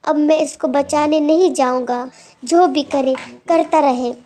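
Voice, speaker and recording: A woman's voice: high voice, fairly even intonation, a little slow, warm tone. A woman voicing a stubborn, resentful young bull in a children's moral story. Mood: bitter, stubborn.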